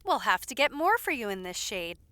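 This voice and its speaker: A woman playing a caring, loyal lady's maid in an audio roleplay, attentive and gently scolding with polite familiarity. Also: fairly high pitch, sing-song, a little fast, no room sound. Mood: fond, deferential.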